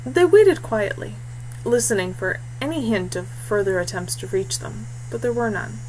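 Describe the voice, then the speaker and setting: A woman's voice: medium pitch, big pitch swings, moderate pace, a little mellow, slight background noise, low-quality recording. A woman reading a scripted adventure story as narrator. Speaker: audiobook reader.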